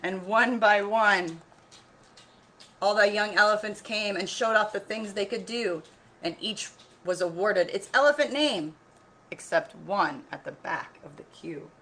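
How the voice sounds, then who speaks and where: Bright-voiced woman reading to children. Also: mid-range voice, unhurried, rough recording. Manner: expressive, instructive, asks questions. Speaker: teacher educator reading a picture book aloud. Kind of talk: read-aloud lesson for children with questions to listeners.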